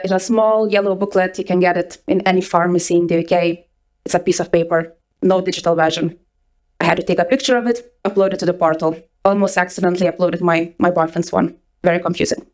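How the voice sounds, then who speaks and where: A young woman from Lithuania with a Lithuanian accent, giving a lively conference talk with a personal story to a live audience. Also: on the low side. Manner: energetic, chatty, repeats words for emphasis. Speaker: conference speaker. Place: conference hall before an audience.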